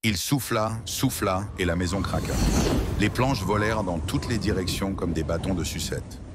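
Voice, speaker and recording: A man narrating a scripted children's story as storyteller, in an expressive, dramatic way. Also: low-pitched, moderate pace, very noisy.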